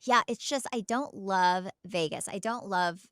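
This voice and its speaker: A woman's voice: medium pitch, unhurried, a bit bright, no room sound, studio quality. A woman co-hosting a podcast in casual, candid conversation. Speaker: podcast co-host.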